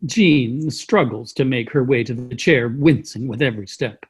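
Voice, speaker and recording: A man's voice: medium pitch, moderate pace, breathy edge. A man narrating, reading out the stage directions of a play in a plain scripted style. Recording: video call play reading.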